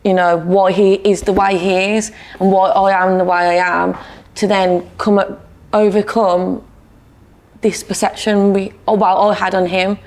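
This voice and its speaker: A woman's voice: mid-range voice, level tone, a little slow, a little mellow. A woman with a British accent, a podcast guest speaking candidly and matter-of-factly about her own life. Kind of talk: personal testimony in conversation.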